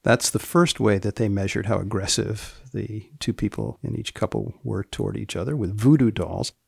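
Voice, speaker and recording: A man hosting a podcast, giving deliberate, deadpan explanatory commentary in short measured phrases with dry asides. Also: lowish voice, vocal fry, husky, no echo. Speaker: podcast host.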